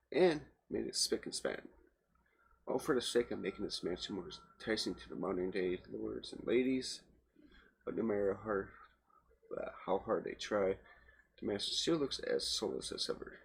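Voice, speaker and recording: A man's voice: fairly low pitch, vocal fry, breathy edge. A man reading visual novel narration aloud as a let's play host, stumbling over words and adding casual asides. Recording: loud background noise, game audio.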